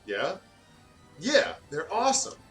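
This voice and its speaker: A man's voice: a little high, huge pitch swings, slow pace, fairly bright tone, distant mic, soft background music, voice pushed up, heavily acted. Man playing a shy, flustered, stammering teenage girl. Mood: flustered, awkward. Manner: stammering, hesitant when speaking. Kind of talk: dramatic reading of fan fiction.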